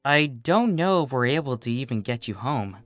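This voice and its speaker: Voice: mid-range voice, very animated, normal speed, dry recording, faint music behind, natural voice. A narrator voicing a sensible, easily irritated female earth pony with a dry, matter-of-fact manner. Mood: doubtful.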